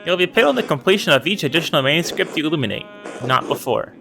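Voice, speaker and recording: A man voicing a stern, formal monk who guards an abbey's treasures and speaks in a stiff, disapproving way. He reads a slightly lower and quieter line than his own voice. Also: big pitch swings, fast talker, soft background music, heavily acted. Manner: stiff, rule-bound and disapproving. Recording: clear background noise.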